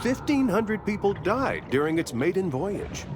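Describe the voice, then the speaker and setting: A man playing a wry, deadpan nature documentary narrator in a video game. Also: high voice, unhurried, background noise. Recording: loud music behind the voice. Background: loud game music.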